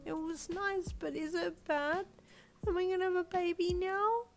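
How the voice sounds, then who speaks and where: A squeaky shy little girl. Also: fairly even intonation, unhurried, soft background music. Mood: anxious. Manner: squeaky, stuttering, earnest.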